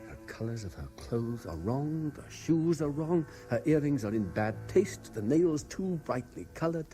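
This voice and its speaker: A man's voice: mid-range voice, big pitch swings, unhurried, warm tone, faint music behind. An actor playing an intense, haunted Frenchman obsessed with a lost love, insistent and brooding, in 1970s radio drama style.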